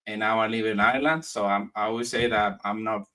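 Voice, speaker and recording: A man from Spain raised in the United States, a comic writer talking as a podcast guest in a friendly, halting way. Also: fairly low pitch, steady tone, pushed and bright, recorded from a distance. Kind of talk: casual interview chat.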